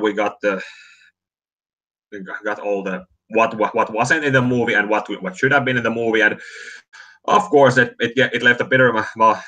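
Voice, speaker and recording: A Finnish man with a bright, forceful tone talks film in a relaxed chat over a call. He speaks English as a second language, with frequent fillers and restarts as he hunts for words. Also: lowish voice. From Finland. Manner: halting, many restarts and fillers. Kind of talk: casual chat about films.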